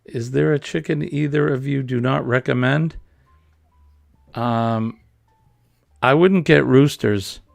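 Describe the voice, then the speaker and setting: Creaky-voiced man hosting a casual chat. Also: mid-range voice, takes it slowly, pauses often, dry recording, faint music behind. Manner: chatty, reads viewer comments aloud with laughs. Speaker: host.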